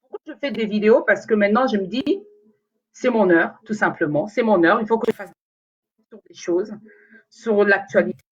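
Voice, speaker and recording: A woman's voice: medium pitch, normal speed, husky, lo-fi sound. Rambling woman chatting live on a phone. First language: Lingala. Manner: rambling, hesitant, many fillers and restarts. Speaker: vlogger talking to viewers. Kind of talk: casual live chat to camera.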